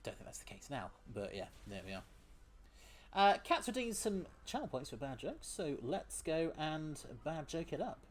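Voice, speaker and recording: A man's voice: a little high, sing-song, normal speed. A man streaming a game, chatting with his viewers, often laughing and sometimes tripping over words. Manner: chatty, laughs often, reads chat aloud; trips over words at times. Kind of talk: casual gaming stream commentary.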